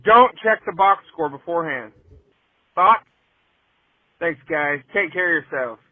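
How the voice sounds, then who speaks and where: High-voiced man on lo-fi voicemail. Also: expressive, measured pace, dry recording. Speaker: voicemail caller. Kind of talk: casual pitch to podcast hosts. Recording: dull, lo-fi phone voicemail.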